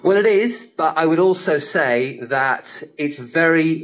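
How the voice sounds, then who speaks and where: A male sports correspondent with a medium-pitched voice. He gives measured, carefully reasoned reports and analysis on live radio, received over shortwave. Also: fairly bright tone, poor audio. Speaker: tennis correspondent. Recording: shortwave radio broadcast reception.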